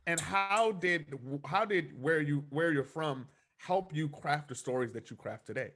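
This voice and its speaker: A man's voice: on the high side, measured pace, fairly bright tone, slight background noise. A man hosting a podcast interview, effusive and praising, often restarting his sentences. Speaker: podcast host. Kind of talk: conversational interview.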